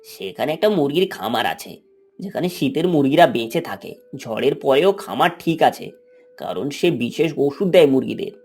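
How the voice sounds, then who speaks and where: A man playing an eager, scheming ten-year-old village boy in an animated moral story. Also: mid-range voice, moderate pace, slightly rough, faint music behind. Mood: conspiratorial.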